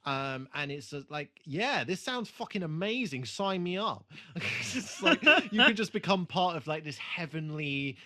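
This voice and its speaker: A man's voice: fairly high pitch, lively intonation, unhurried, hardly any pauses, bright, forceful tone. A man co-hosting a podcast in a casual, rambling, enthusiastic and sweary way. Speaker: podcast co-host. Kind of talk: casual chat, reviewing a TV show.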